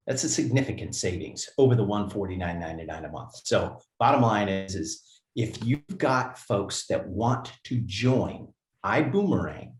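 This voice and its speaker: A man's voice: lowish voice, darkish tone. A man co-hosting a company livestream in a casual, upbeat way, reading names from the chat and laughing often. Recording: echoey room.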